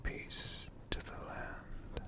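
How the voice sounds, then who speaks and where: A man playing a friendly, bookish dragon, telling stories in a hushed, whispered and soft-spoken ASMR style with long, deliberate pauses. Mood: hushed, reverent. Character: friendly male dragon shopkeeper, gentle bookish storyteller. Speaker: ASMR host.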